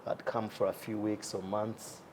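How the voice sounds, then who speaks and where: A man's voice: fairly low pitch, flat delivery, moderate pace, a little mellow, slight background noise. A young man as an interview guest, talking casually with many fillers and easy laughs. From Uganda. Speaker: guest, entrepreneur.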